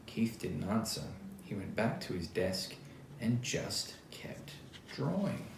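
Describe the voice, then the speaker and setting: An Australian-accented man reading a picture book to children as an actor, expressive and chatty, speaking straight to his young listeners. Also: lowish voice, slow pace. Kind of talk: children's storytime read-aloud with casual asides. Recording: roomy echo, clear background noise.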